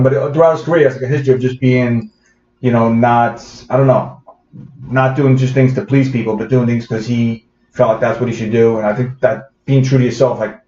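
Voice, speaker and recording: Bronx guy rambling fast on a podcast, lots of ums and restarts, roomy dull sound. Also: mid-range voice, a little mellow. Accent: Bronx New York. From the Bronx, New York. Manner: rambling, many fillers and restarts. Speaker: guest comedian. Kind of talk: casual podcast interview.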